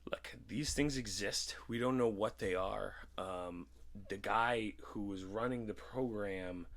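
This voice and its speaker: Low-pitched man chatting casually. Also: slow pace, a bit bright. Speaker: podcast host. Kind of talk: casual chat.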